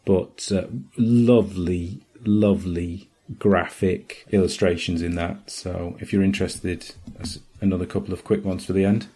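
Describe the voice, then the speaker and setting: A man's voice: deep voice, fairly even intonation, a little slow, few pauses, dark tone, slightly rough. A man podcast host giving a casual solo recommendation, enthusiastic but tentative in his phrasing. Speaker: podcast host reviewing comics.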